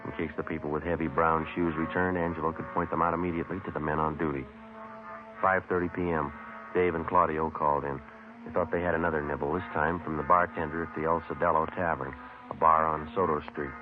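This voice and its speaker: An actor playing a terse, matter-of-fact police sergeant who narrates and questions in an old radio crime drama. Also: male voice, a bass voice, level tone, fast talker, over music. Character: terse, businesslike male police sergeant narrating his case. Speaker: lead detective and narrator. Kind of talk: clipped procedural radio drama narration and dialogue. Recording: tinny vintage radio recording.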